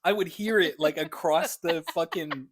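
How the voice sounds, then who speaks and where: A man's voice: fairly high pitch, normal speed. A young adult man as a podcast guest, bantering casually in a joking, slangy way with some swearing.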